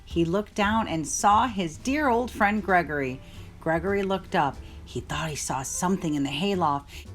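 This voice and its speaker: A woman's voice: on the low side, moderate pace, faint music behind. A woman reading a children's story aloud as narrator.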